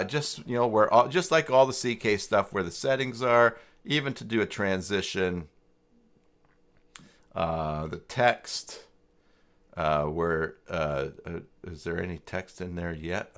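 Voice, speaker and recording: Male live-stream tutorial host: unhurried, creaky low register, casual and tentative, talks himself through the screen. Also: a bit bright. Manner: hesitant, muttering to himself while searching. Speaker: live stream host demonstrating website software. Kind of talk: casual screen-share tutorial, thinking aloud.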